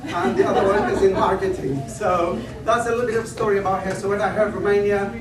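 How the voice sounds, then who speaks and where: A man's voice: sits high, steady tone, fast, a crowd in the background. A man in his fifties from northern Iraq, giving a conference talk with rambling personal stories, self-deprecating jokes and frequent restarts. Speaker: invited conference speaker. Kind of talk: lecture opening with personal anecdotes. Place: lecture hall. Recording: echoey hall, distant mic, background noise.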